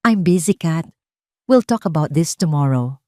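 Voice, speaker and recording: A woman's voice: deep voice, expressive, unhurried, darkish tone, no room sound. A woman acts out a weary billionaire husband in an audio drama. He sounds cold, detached and dismissive, as if worn out, in a dry close recording. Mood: tired. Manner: tired, dismissive.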